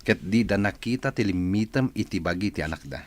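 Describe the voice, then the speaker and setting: A man's voice: sits low, animated, brisk. A man playing a crafty, gloating, domineering boss in an Ilocano radio drama.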